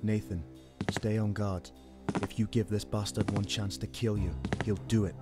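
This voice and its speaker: A man's voice: fairly low pitch, flat delivery, moderate pace, loud music behind the voice, natural voice. A man playing a grim, determined police detective in an amateur radio play, earnest and hard-boiled in manner. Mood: grim, hushed.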